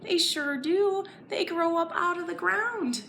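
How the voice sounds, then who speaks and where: A woman's voice: extremely high pitch, takes it slowly, creaky, a bit bright, a little hiss or hum. A woman leading a children's story time lesson, playful and exaggerated, encouraging little listeners with questions and sing-along phrases.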